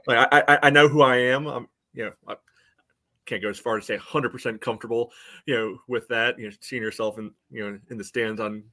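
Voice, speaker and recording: A man in a casual sports discussion as a podcast co-host, speaking haltingly and often saying "you know". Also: mid-range voice, steady tone, pushed and bright, no room sound.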